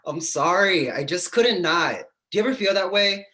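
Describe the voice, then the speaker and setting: An actor playing a quirky, quick-witted male love interest in a screenplay table read, glib and theatrical. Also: sits high, animated, bright, forceful tone. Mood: flirty, earnest.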